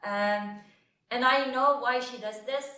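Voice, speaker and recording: A woman moderating a panel discussion, speaking reflectively and haltingly with many fillers. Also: a little high, fairly even intonation, sharp tone, far from the mic. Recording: echoey hall from off-mic.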